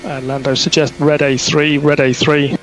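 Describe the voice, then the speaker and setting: A man's voice: a little high, level tone, normal speed, faint music behind. A man working as a race engineer on team radio, giving clipped instructions and repeating each one. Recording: noisy team radio, vehicle noise.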